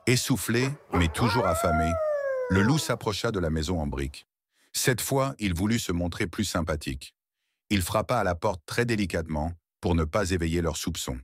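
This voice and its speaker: A man narrating a scripted children's story as storyteller, in an expressive, dramatic way. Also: deep voice, normal speed, slightly rough, no echo.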